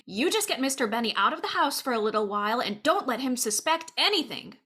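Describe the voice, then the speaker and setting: A brisk, practical girlfriend speaks in a crisp, matter-of-fact way, as a woman acts her out. Her voice is high and bright with lively movement, in old-time radio comedy style. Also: exaggerated voice. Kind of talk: 1950s radio comedy acting.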